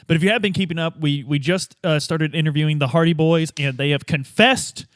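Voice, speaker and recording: Chatty, higher-voiced man hosting a game podcast. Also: fairly even intonation, brisk, no room sound, studio quality. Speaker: podcast host and player. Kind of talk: casual podcast chat and improvised roleplay.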